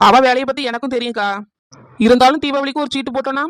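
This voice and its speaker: A woman's voice: sits high, steady tone, dry recording, studio quality, close to an everyday voice. A woman playing a nosy, sarcastic young village wife in a cartoon comedy, with a confrontational manner and a southern Tamil Nadu accent. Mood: gossipy, persuasive. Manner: brisk, confrontational, teasing.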